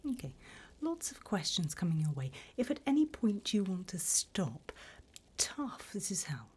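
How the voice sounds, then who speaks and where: Here is a woman playing a gleefully wicked demoness conducting an infernal interview in an ASMR roleplay. She speaks in a very breathy whisper, low and unhurried. Her phrasing swoops and lingers, mock-formal and teasing. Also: big pitch swings, a little mellow, exaggerated voice. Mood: teasing menace.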